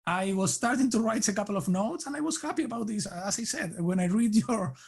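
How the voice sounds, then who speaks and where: Argentine man, halting, higher-pitched voice. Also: lively intonation, creaky, darkish tone, slightly rough. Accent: Argentine Spanish. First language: Spanish. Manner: halting, many restarts and fillers, laughs lightly. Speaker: guest. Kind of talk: casual interview answers.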